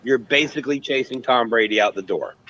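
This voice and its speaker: A man's voice: medium pitch, brisk, bright, forceful tone, poor audio. A man chatting casually as a panelist on a sports show. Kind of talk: casual chat.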